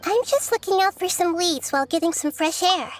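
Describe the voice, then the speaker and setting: A woman voicing a gentle, wise little girl deity in a video game, soft and thoughtful, with measured phrasing. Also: extremely high pitch, animated, over loud music. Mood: pensive.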